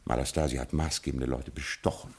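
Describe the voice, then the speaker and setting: An actor playing a ruined, absent-minded Scottish lord in a 1950s radio drama, with a stately, fatalistic manner. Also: male voice, rumbling low, steady tone, a little fast, husky, full character voice. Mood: resigned stately.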